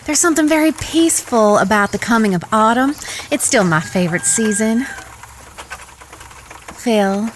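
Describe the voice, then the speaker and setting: Female actor as genteel Old West sweetheart, politely coaxing, slightly high voice, Western radio-play style. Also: animated, moderate pace, pauses often, a little hiss or hum, natural voice. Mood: curious, fond. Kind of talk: Western audio drama acting.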